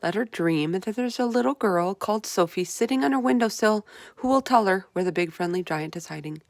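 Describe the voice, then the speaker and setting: A woman's voice: medium pitch, animated, a little fast, gravelly, no room sound, clean studio sound. A woman voicing a confident, bossy, clever little orphan girl from a storybook, firm and instructing. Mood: scheming, instructing. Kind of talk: storybook read-aloud character voice.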